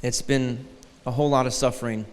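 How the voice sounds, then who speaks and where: A man's voice: mid-range voice, steady tone, fairly quick, smooth, in a large hall. A man in his forties from Detroit, a guest preacher giving a sermon with a light, thin voice and a joking, self-deprecating manner. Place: large church hall.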